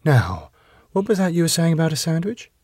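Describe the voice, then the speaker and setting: A man voicing a domineering middle-aged wife in a drama script, controlled, mocking and quietly threatening. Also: on the low side, big pitch swings, slightly rough, no room sound, studio quality, exaggerated voice. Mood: quietly menacing. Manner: slow, controlled menace and sarcasm.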